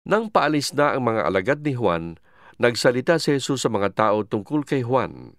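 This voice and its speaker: A man narrating scripture from a script in a measured, formal way. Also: mid-range voice, sing-song, dry recording, clean studio sound.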